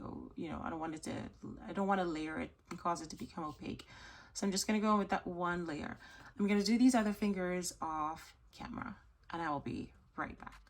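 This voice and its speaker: A woman hosting a nail art tutorial, chatty and gushing, slightly hoarse as she loses her voice. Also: lowish voice. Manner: chatty, gushing, self-correcting. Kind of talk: casual tutorial chat.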